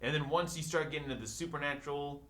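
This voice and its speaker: A man's voice: a little high, quick pace, fairly bright tone. A man in a casual movie discussion, retelling plots in a rambling, informal way full of filler "likes". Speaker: podcast panelist.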